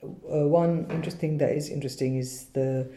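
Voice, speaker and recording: A woman with a very low, mellow voice asks prompting questions and steers the conversation as interviewer. The room around her is echoey. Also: steady tone, moderate pace.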